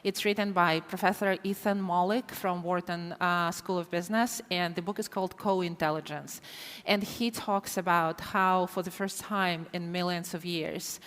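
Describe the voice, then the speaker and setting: A woman's voice: lowish voice, fairly even intonation, normal speed, a bit bright, smooth. A woman with a Ukrainian accent speaking on a conference panel, earnest and thoughtful, often repeating words as she starts a thought. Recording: reverberant conference hall.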